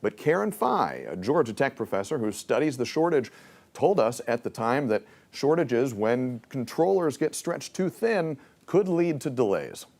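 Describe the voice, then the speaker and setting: A man's voice: mid-range voice, very animated, a little slow, a little mellow. A man reporting live for television news from a newsroom in a broadcast reporting style.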